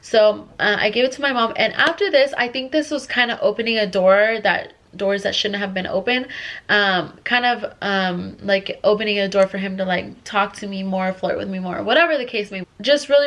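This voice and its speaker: A young woman talks through a storytime with a stuffy, congested voice as she gets over a cold. She rambles and restarts constantly, piling on filler words. Her pitch sits in the middle of the range. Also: fairly bright tone. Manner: rambling, filler-heavy, restless. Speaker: vlogger. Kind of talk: casual storytime.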